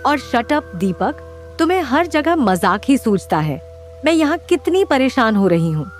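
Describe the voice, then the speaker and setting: A woman's voice: high-pitched, very animated, a little slow, soft background music. A woman playing a fretful, complaining, easily scared young woman in a horror audio drama. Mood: annoyed.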